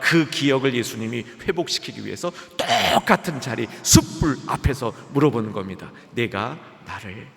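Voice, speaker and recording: A man preaching a sermon as a pastor, lively and dramatic, acting out the voices in the story he tells. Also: mid-range voice, moderate pace, gravelly, hardly any pauses. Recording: long hall reverb.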